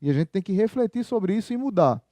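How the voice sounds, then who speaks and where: High-voiced pastor preaching. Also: male voice, expressive, quick pace, dark tone, no echo. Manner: conversational preaching with rhetorical questions to the congregation. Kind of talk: sermon.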